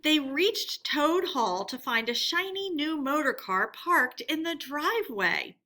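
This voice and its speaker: Bright-voiced woman reading to children. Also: high voice, expressive, slow pace. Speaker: teacher reading aloud. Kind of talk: expressive children's story read-aloud with questions to pupils.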